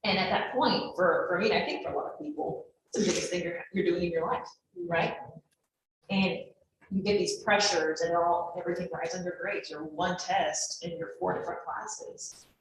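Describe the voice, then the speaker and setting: A woman's voice: lowish voice, moderate pace. A woman speaking on a law career panel, emphatic and often tagging her points with "right?". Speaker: lawyer panelist. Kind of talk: panel answer. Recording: very echoey room, faint noise.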